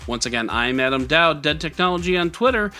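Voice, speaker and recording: A man's voice: on the high side, expressive, brisk, bright, forceful tone. A man hosting a scripted tech news podcast in a conversational, sarcastic way with wry asides. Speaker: podcast host.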